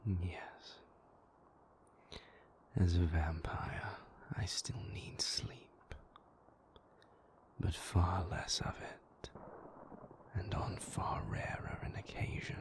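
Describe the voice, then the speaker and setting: A man voicing a moody, possessive yet tender vampire lover in an intimate roleplay audio, speaking in formal, measured phrases. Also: sits low, level tone, whispered. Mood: hushed, soothing.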